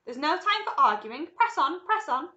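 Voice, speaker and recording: A woman voicing an exuberant, eccentric man who owns a chocolate factory, bossy and excitable as he calls out orders in a storybook reading. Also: very high-pitched, roomy sound, dull audio, voice pushed up, heavily acted. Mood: emphatic, impatient. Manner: excitable, brisk, commanding.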